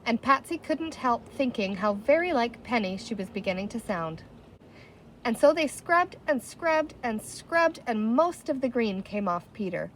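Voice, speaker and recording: A woman reads a children's story aloud in a fairly high voice. Her narration is expressive, with pitch that rises and dips widely through each sentence. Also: unhurried, slight background noise, low-quality recording. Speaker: storybook reader.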